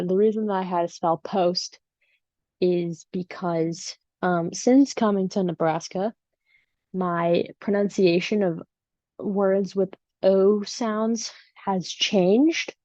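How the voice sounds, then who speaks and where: A woman's voice: medium pitch, mellow, dry recording, dull audio. A woman with a Chicago accent hosting her own podcast, talking casually and rambling with lots of ums. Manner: rambling and chatty, with many ums. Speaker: podcast host. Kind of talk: casual solo podcast chat. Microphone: home setup without usual equipment.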